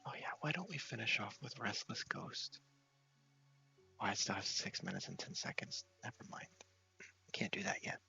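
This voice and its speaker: A man giving soft, whispery ASMR commentary over a game, casually thinking aloud in a low-key way. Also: very animated, normal speed, lo-fi sound, soft background music. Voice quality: soft, breathy, often whispery. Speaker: gaming video host.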